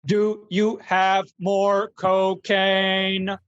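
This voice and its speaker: An actor voicing a needy, amorous female garbage robot with flat, deliberate delivery in a comic radio drama. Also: mid-range voice, dull audio, faint music behind. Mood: deadpan needy.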